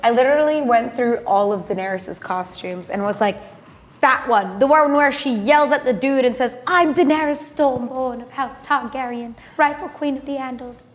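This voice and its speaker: A woman's voice: sits high, moderate pace. A young woman chatting casually and playfully with guests as she hosts a live stream, using plenty of slang. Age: young adult. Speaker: live cosplay panel host. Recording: echoey room, long reverb.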